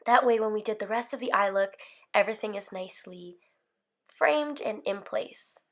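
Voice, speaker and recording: A woman's voice: medium pitch, normal speed. A woman in her twenties with a slight cold, talking through a makeup tutorial in a casual, upbeat, rambling way. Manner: chatty, upbeat, rambling with fillers. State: hoarse from a cold. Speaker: beauty vlogger. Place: bedroom filming setup.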